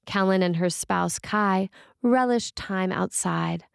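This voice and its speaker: A woman preacher reading a written sermon in a measured, reflective and earnest way. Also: mid-range voice, vocal fry, clean tone, dry recording, studio quality.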